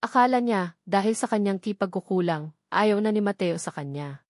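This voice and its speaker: A woman's voice: medium pitch, lively intonation, normal speed, a little mellow, no echo, studio quality. A woman reading a scripted love story as a dramatic narrator.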